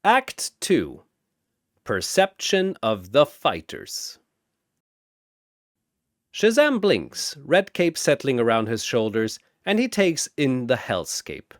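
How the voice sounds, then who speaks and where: A man narrating a fantasy battle in a bombastic, theatrical style with drawn-out emphasis, like an arena announcer. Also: medium pitch, wildly swooping pitch, no room sound, clean studio sound.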